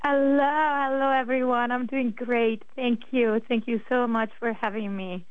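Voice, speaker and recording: A woman with an Italian accent speaks slowly as a radio guest, pausing often with "uh" and "um". Her voice comes through dry and boxed-in, narrow like a phone line. She is from northern Italy and chats casually. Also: a little high, fairly even intonation, smooth, no echo. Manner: slow, hesitant, many fillers.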